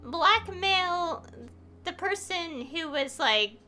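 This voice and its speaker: A woman's voice: extremely high pitch, expressive, drawn-out words, pushed and bright, faint music behind. A woman streaming a video game, giving casual commentary in a rambling, hesitant way.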